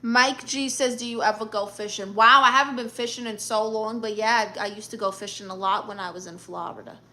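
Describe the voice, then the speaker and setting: A woman's voice: mid-range voice, fairly even intonation, fairly quick, bright, forceful tone. A woman with a New York accent hosting a live stream, reading viewer comments aloud in a brash, sassy, joking way. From Brooklyn. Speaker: livestream host.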